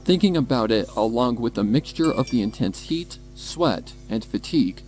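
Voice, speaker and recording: A man's voice: medium pitch, animated, normal speed, darkish tone. A man reading a scripted story as a dramatic narrator. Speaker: narrator of a fan fiction reading. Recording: music behind the voice.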